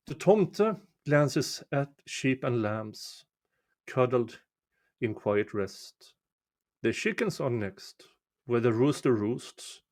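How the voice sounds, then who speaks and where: A man reading a folk poem aloud as a storyteller, measured and deliberate, pausing between short phrases. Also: mid-range voice, darkish tone. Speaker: amateur reader of a poem. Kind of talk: slow, dramatic storytelling recitation of a winter folk poem.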